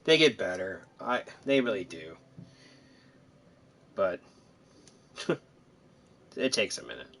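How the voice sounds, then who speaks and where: A man's voice: low voice, sing-song, slow, creaky, long pauses, fairly bright tone. A man giving casual, rambling commentary on his own video game playthrough, thinking aloud as he goes. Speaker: gamer narrating own playthrough.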